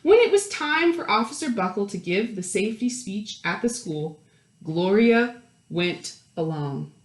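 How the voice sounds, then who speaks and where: A woman reading a picture book aloud to young children, expressively, pausing for chatty explanations and questions. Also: mid-range voice, very animated, echoey room. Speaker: children's storytime reader.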